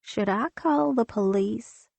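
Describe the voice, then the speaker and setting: A woman's voice: a little high, slow, vocal fry, a little mellow, dry recording, heavily acted. Woman reading a timid, worried maid.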